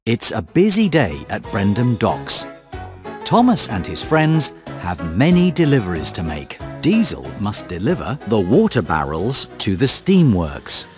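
A man narrating a children's game in a scripted, encouraging, clearly enunciated storytelling manner. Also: lowish voice, huge pitch swings, slow. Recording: music mixed under the voice. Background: music.

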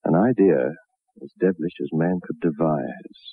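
A man narrating a 1960s radio horror drama as a grave, measured, ominous storyteller. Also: a bass voice, creaky, slightly rough, no echo, exaggerated voice. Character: grave male narrator of a macabre tale. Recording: muffled narrow-band old radio recording.

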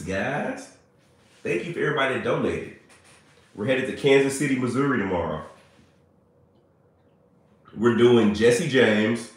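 A man, chatting playfully with viewers on a livestream, a little hoarse and congested. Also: on the low side. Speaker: livestream host. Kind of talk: casual livestream chat with viewers. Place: small bright room indoors. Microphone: phone at arm's length. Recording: very echoey room.

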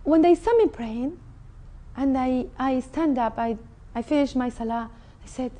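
A woman's voice: sits high, measured pace, warm tone. A middle-aged woman from Mexico with a Mexican Spanish accent, an interview guest speaking earnestly and with feeling about her own story, recorded on a lapel mic in a TV studio. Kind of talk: personal testimony. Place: indoor TV studio set.

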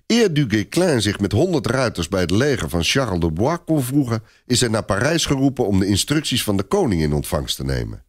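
A man narrating a dramatic historical story for a radio play in an expressive storytelling manner. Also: on the low side, a little fast, hardly any pauses.

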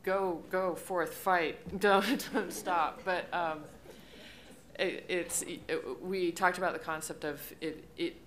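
A woman panelist talking in an animated, rambling way with bursts of laughter, on a conference stage at a table microphone. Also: low-pitched, slight background noise. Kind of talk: panel discussion.

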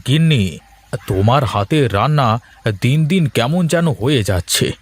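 Man acting a slow, grumbling village husband. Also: mid-range voice, expressive, slightly rough. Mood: teasing. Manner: drawling, grumbling, interjection-heavy. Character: lazy, grumbling, slyly teasing village husband. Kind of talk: Bengali folk-tale cartoon dubbing.

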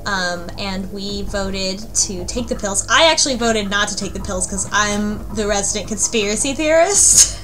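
A woman's voice: mid-range voice, moderate pace, over music, low-quality recording. A woman hosting a casual group discussion of a game, talking in a wry, joking way.

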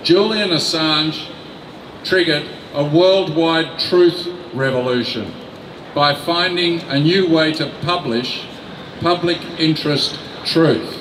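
An older man reads a prepared rally speech at a very slow, deliberate pace, stressing key words. His voice sits slightly high. The sound echoes across an open city square, picked up from a distance with faint noise behind. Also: a bit bright. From Australia. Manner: slow, deliberate, emphatic reading from notes. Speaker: rally speaker. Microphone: mic on a stand.

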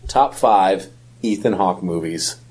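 A man's voice: low-pitched, takes it slowly, a little mellow, husky. A man co-hosting a podcast in casual, conversational talk. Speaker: podcast co-host. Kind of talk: casual chat.

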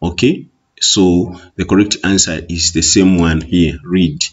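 Zambian male teacher with a Tonga-influenced accent, lowish husky voice over screen recording, repetitive patient delivery. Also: steady tone. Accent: Zambian, Tonga-influenced English. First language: Bemba. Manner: explains slowly, repeats with many okays. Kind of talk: online exam walkthrough lesson. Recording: muffled lo-fi recording.

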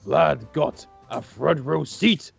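Man playing a creaky, excitable young storyteller. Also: a little high, takes it slowly, faint music behind, exaggerated voice. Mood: dramatic storytelling. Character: excitable young man gleefully reading silly fan fiction aloud. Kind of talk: hammy storytelling in Minecraft roleplay.

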